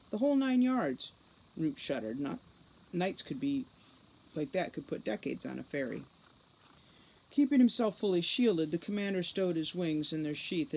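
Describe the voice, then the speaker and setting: A woman giving an expressive scripted narration of a story as an audiobook reader. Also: low voice, slight background noise, rough recording.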